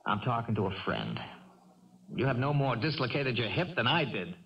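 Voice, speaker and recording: A man's voice: medium pitch, expressive, moderate pace, vocal fry. An actor playing an earnest, kindly farm boy, eager and hesitant, in a 1970s radio drama. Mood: teasing. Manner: hesitant, stammering. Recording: dull, noisy, distant old radio broadcast.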